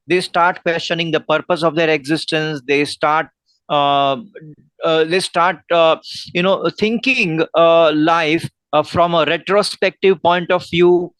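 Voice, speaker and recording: A man with an Indian English accent tutoring English in a one-on-one video call lesson, giving earnest advice with frequent pauses and fillers. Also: a little high, steady tone, fairly bright tone, clear, resonant tone, no room sound, rough recording.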